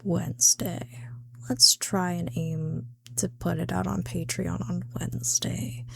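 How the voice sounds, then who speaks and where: A woman's voice: fairly low pitch, flat delivery, takes it slowly, gravelly, husky. A tired woman chatting casually to her viewers in a partly whispered ASMR style, rambling in a self-amused way with loose, sweary language. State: tired, late at night. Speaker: ASMR creator.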